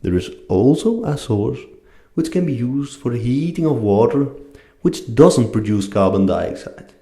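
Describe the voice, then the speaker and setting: A man's voice: medium pitch, very animated, measured pace, warm tone. A man with a non-native English accent giving a scripted educational narration. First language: not English. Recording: echoey room.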